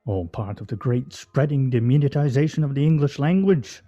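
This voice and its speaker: An actor playing a pedantic, grumbling husband in a comedic radio play, with pompous, wordy, fussily articulate delivery. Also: male voice, mid-range voice, normal speed, mellow, lo-fi sound, faint music behind, lightly acted.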